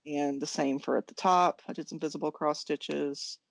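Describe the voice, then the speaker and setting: A woman's voice: low-pitched, steady tone, moderate pace, creaky, dry recording. An American woman chatting casually as co-host of a knitting podcast.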